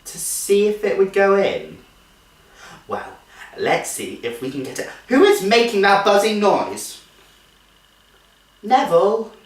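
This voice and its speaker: A genteel nursery teacher played by a man in a theatrical comic monologue. The delivery is animated and singsong, coaxing sweetly, then scolding with thinly held patience. It is recorded at a distance in an echoey room. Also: high voice, takes it slowly, pauses often, exaggerated voice. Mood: strained patience. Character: genteel, strained-cheerful female nursery teacher, patient but exasperated.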